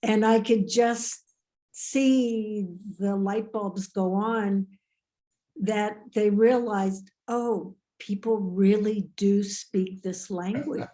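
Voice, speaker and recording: Slow, mellow older woman on a call. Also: medium pitch, fairly even intonation. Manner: hesitant, many fillers, gentle laughter. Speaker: workshop participant. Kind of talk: casual chat. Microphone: video call.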